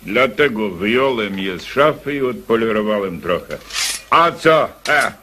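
Blustering old colonel, wildly animated. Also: male voice, on the low side, a little slow, slight background noise. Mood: proud, defiant. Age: older. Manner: blustering, bossy, old-fashioned exclamations. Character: blustering, proud old military colonel, an uncle. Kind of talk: radio drama acting.

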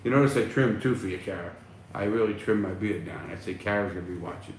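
A man's voice: lowish voice, fast talker. A middle-aged man hosting a casual livestream, reading chat aloud and answering bluntly, swearing freely, recorded on a phone at arm's length. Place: small room at home, indoors. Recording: echoey room, faint noise.

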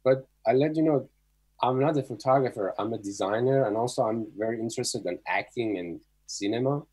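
A middle-aged man from Iran speaks with an Iranian accent in a medium-pitched voice. He tells his story casually as an interview guest, with halting, non-native English phrasing typical of a Persian speaker. He is talking over a video call. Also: darkish tone, husky. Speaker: guest photographer.

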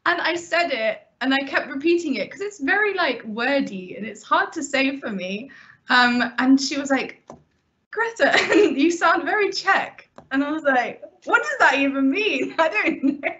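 A woman's voice: sits high, animated, unhurried, bright, forceful tone. Young woman student, chatty, hesitant, laughs a lot, muffled call audio. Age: young adult. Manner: rambling, hesitant, laughs often. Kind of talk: casual chat on a video call. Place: bedroom at home. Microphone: laptop webcam on a video call. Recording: dull lo-fi video call audio.

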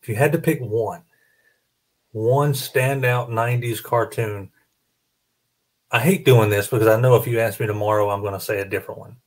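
Low-voiced middle-aged man chatting. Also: steady tone, moderate pace, long pauses. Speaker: host of a collector panel. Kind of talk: casual panel chat. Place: small home room, indoors, video call. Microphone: studio mic on boom arm close up.

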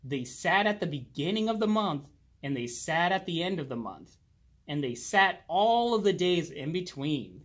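A man reading a children's picture book aloud expressively, drawing out words and pausing. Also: a little high. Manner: slow, animated, with drawn-out words and pauses. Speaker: children's storybook reader. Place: indoors, library with bookshelves.

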